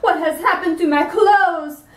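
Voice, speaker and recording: A woman's voice: very high-pitched, measured pace, pitched above the performer's own voice. A woman reading aloud and voicing a fussy, stingy man who is a dentist, speaking curtly and haughtily. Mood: alarmed. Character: fussy, stingy middle-aged male dentist. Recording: roomy sound from a distance.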